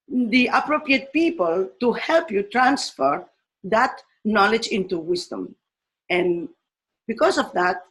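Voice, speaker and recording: A woman's voice: on the high side, a bit bright. An older woman from Spain with a Spanish accent, a teacher explaining her ideas on education with fillers and restarts. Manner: slow and deliberate, with fillers and restarts.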